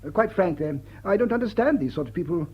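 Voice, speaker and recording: An actor playing a stiff, shy, earnest lord in a period comedy, formal and haltingly awkward in speech. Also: male voice, sits high, expressive, slightly rough, lo-fi sound. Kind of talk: period stage comedy radio drama.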